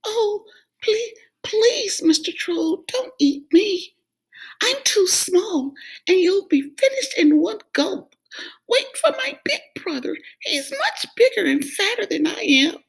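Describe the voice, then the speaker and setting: A small, clever billy goat, as a woman voices him, high and chirpy, rattling his words off quickly. He is cocky and quick-witted, a lively storybook character. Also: creaky, exaggerated voice, rough recording. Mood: pleading.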